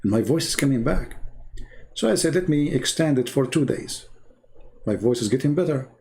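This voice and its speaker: Man, gravelly voice, rambling, scornful, middle pitch. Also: animated, normal speed, mellow. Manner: rambling, scornful, repeats himself. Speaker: online commentator. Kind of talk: casual monologue.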